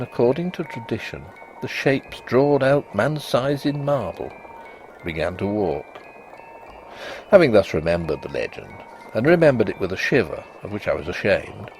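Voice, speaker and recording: A man reading a ghost story in a theatrical, suspenseful way, with deliberate phrasing and a husky voice. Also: medium pitch, darkish tone. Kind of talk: dramatic first-person ghost story narration.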